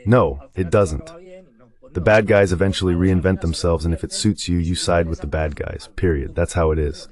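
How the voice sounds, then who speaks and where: A man's voice: low voice, fairly even intonation, moderate pace, darkish tone. A man speaking as the translated voice-over of a guest analyst, delivering political commentary.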